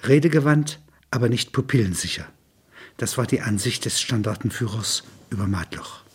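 A man's voice: mid-range voice, lively intonation, normal speed, husky. A man reading a literary story aloud, narration and quoted dialogue alike. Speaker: reader of a literary text.

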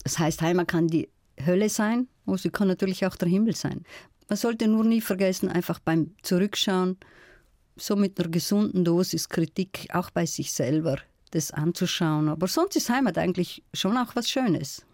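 A middle-aged woman from South Tyrol with a South Tyrolean accent, a guest in a radio interview, speaking in a thoughtful, measured, storytelling way. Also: lowish voice, darkish tone, no echo, clean studio sound. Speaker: guest, folklorist.

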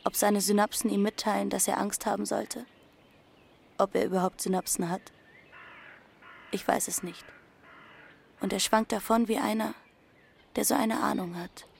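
A woman's voice: medium pitch, flat delivery, normal speed, vocal fry, pauses often, breathy edge. A woman playing a defiant teenage runaway girl who narrates her journey matter-of-factly in an audio drama. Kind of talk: first-person literary narration in audio drama.

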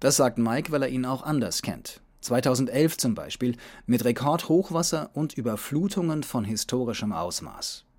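A man's voice: medium pitch, animated, normal speed, hardly any pauses, a little mellow. A man narrating a scripted travel documentary as the journey's own reporter.

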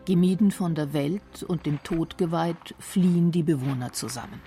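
A woman narrating a radio documentary script in a measured, explanatory manner. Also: deep voice, over music.

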